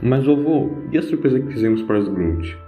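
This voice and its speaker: A man voicing an eager, modest young granddaughter, polite and excitable, in an audio drama. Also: medium pitch, a little fast, lightly acted. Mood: pleading. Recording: roomy, dull home recording with music.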